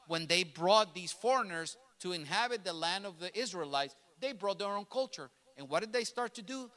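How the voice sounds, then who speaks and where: Very high-voiced, bright preaching man. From grew up in New York City. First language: Spanish. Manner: emphatic, repeats and restarts phrases, builds to loud passionate peaks. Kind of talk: sermon. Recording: long reverb of a large hall.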